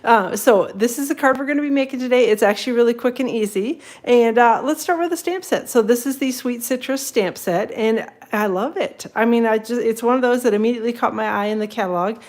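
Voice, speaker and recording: A woman's voice: fairly high pitch, brisk, hardly any pauses, a little mellow. A woman hosting a casual papercraft livestream, a bit sniffly from a cold, rambling and greeting viewers by name.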